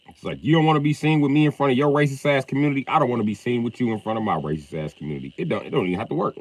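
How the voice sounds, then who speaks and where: A man chatting casually on a livestream, loud and profane, with an animated delivery. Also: mid-range voice, rapid-fire, dry recording.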